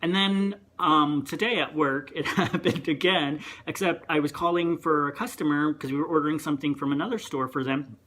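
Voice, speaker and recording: A young man talks in a casual vlog rant. His voice sits a little high, and strangers sometimes take it for a woman's. He rambles, breaks off mid-thought and circles back. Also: fairly even intonation, brisk, few pauses, fairly bright tone, slight background noise. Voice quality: higher voice, sometimes heard as female. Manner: rambling, chatty, self-interrupting. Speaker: vlogger.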